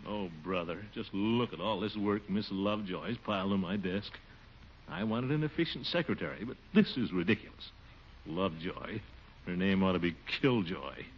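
A blustery pompous middle-aged boss, pitch jumps all over, muffled old radio with hiss. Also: male voice, animated, moderate pace. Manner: exasperated grumbling asides and sudden indignant outbursts. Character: blustery, pompous middle-aged man harried at his office. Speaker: title character of a radio sitcom. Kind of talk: 1940s radio sitcom acting. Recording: hissy, muffled 1940s radio broadcast.

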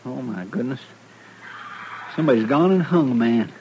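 An actor playing a respectful, folksy marshal's sidekick with a drawl in a vintage radio western. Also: male voice, mid-range voice, lively intonation, normal speed, warm tone, dull audio, lightly acted. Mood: earnest, concerned. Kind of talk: 1950s radio western acting. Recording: noisy vintage radio recording.

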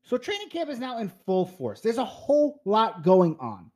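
Male podcast host, thirties, from New Jersey, congested and a touch high-voiced, chatty solo monologue to listeners. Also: wildly swooping pitch, normal speed, a little mellow. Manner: chatty and upbeat, talks straight to listeners. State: stuffed up, congested. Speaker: fantasy football podcast host.

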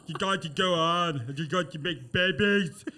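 A man voicing a freezing, melodramatic young man with halting delivery in a comedy table read. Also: a little high, pushed and bright. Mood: earnest, hammy. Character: Jack, freezing young man voiced in shifting silly accents.

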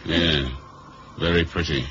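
Very deep, wry British spy. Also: male voice, fairly even intonation, slow, fairly bright tone, full character voice. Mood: wry, dry. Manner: dry, wry, colloquial. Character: cool, wry British male spy, plain-spoken. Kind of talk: 1970s radio drama acting and first-person narration. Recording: muffled, noisy old radio recording.

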